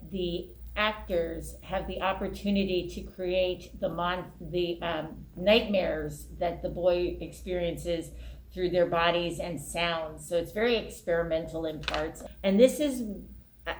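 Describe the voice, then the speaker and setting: A woman's voice: fairly low pitch, takes it slowly. A woman giving casual interview answers in an echoey room. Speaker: theater production interviewee. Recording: echoey room with background noise.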